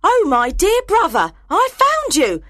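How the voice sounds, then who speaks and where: A man voicing a bold, rough but protective young woman who speaks forcefully in a storybook reading. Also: very high-pitched, huge pitch swings, measured pace, pitched above the performer's own voice, full character voice. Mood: coaxing. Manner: forceful, commanding.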